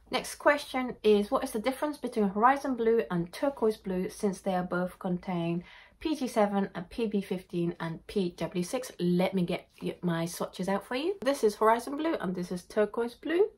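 A woman in her thirties, born in Japan, answering viewer questions casually to camera in her home art studio, reading each question aloud before a candid reply. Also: mid-range voice. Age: 30s. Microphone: camera in front at desk distance.

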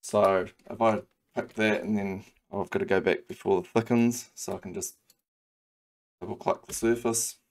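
Low, level-voiced New Zealand man. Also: normal speed. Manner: relaxed, thinking aloud with many ums and restarts. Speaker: CAD design tutor. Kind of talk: screen-recorded software tutorial.

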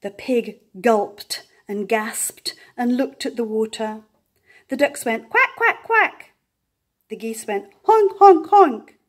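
A woman's voice: a little high, slow. Older woman reading a picture book aloud. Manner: expressive, animal sounds and playful emphasis. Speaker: storyteller. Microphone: camera above the book on a table.

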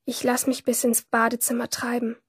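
A woman's voice: on the high side, monotone, normal speed, slightly rough, no room sound, clean studio sound. An actress playing an anguished teenage girl in a radio play, narrating her inner thoughts in short, intense phrases. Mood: shaken, subdued. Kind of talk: radio play interior monologue. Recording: music behind the voice.

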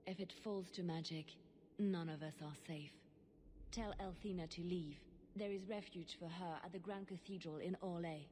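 A composed female agent of a fantasy church played by an actress speaks. Her voice is fairly low, solemn and earnest, as game dialogue with faint noise behind it. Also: steady tone, a little slow, dark tone, big-room sound, close to an everyday voice. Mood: grave warning. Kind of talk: fantasy video game voice acting.

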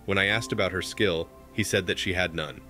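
A man in his thirties hosting a commentary show, reading posts aloud in a steady, measured way. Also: low-pitched, loud music behind the voice. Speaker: commentary host.

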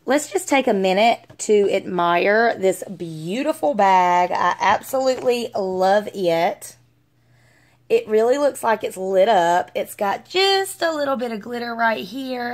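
A young adult woman with a Southern US accent, chatting casually as she vlogs on a phone at arm's length. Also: on the high side. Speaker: vlogger. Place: small home rooms and inside a car.

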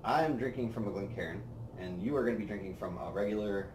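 Young man, host, relaxed and rambling, lowish voice, roomy outdoor sound. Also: quick pace, slight background noise. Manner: relaxed, rambling, lots of fillers. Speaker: whiskey review host. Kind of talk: casual tasting chat. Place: outdoor patio by a brick wall.

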